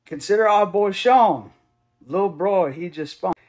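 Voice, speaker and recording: A man's voice: high-pitched, measured pace, darkish tone, voice pushed up. A man playing a dramatic reader of parody scripture, declaiming slang verse in an emphatic, mock-earnest rhythm.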